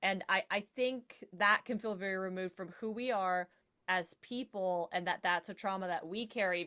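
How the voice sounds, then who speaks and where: A woman's voice: fairly low pitch, a bit bright. A woman speaking as a guest in a casual panel discussion, reflective and conversational, peppering her points with "like".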